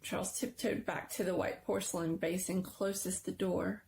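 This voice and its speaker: Creaky-voiced woman reading suspenseful fiction. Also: lowish voice, steady tone, moderate pace, a little mellow, a little hiss or hum. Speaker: story reader. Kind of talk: dramatic narration of a scary story.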